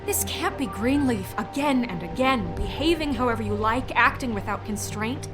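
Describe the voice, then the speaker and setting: High-voiced, firm woman leader acted by a woman. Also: lively intonation, normal speed, over music, lightly acted. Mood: commanding. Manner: firm, authoritative. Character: stern, principled woman newly in charge of an office. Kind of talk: video game voice acting.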